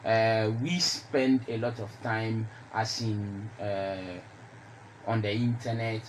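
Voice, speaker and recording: Halting young Ghanaian man, lowish voice, noisy recording. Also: steady tone. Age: young adult. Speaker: radio panelist. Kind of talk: unscripted panel discussion. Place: radio studio.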